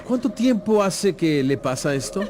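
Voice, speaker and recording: An actor playing an authoritative, earnest religious teacher with a solemn, emphatic delivery in a dramatized audio Bible. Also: male voice, high-pitched, sing-song, moderate pace, noisy background. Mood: firm.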